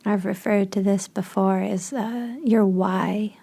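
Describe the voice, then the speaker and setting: A woman guides a meditation in a dark, relaxed voice with plenty of vocal fry. Her delivery is soft and breathy, edging toward a whisper. She moves very slowly, leaving space between gentle, short phrases. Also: mid-range voice.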